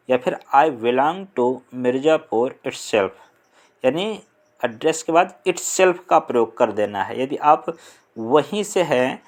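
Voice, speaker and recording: A young man from India with a Hindi accent, explaining an English lesson slowly and deliberately, pausing on fillers. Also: medium pitch. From Uttar Pradesh, India. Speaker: English teacher.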